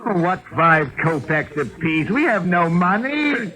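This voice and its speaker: An actor playing a weary, meek young vagrant, faltering and plaintive, in a 1970s American radio drama. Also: male voice, high-pitched, very animated, slow pace, pushed and bright, full character voice. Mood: doubtful, weary. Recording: very noisy old radio broadcast.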